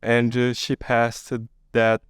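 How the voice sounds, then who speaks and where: A man hosting a podcast, asking curious, gently probing questions with some fillers. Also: medium pitch, completely flat delivery, slow, clear, resonant tone, dry recording, clean studio sound. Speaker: podcast host. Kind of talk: interview questions. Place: podcast studio.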